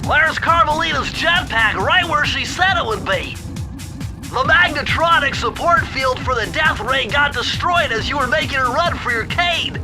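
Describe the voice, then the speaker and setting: High-voiced nerdy turtle sidekick. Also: male voice, normal speed. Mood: urgent. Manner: fussy, wordy, excitable. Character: brainy, anxious, nerdy male turtle sidekick. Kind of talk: video game cutscene voice acting. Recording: music under the voice.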